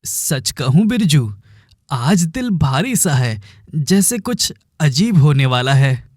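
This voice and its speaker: Man playing an earnest, slow-spoken young village woodcutter. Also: on the high side, sing-song, darkish tone, breathy edge, no echo, clean studio sound, heavily acted. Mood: uneasy, brooding. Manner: earnest, brooding. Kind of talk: melodramatic cartoon dialogue.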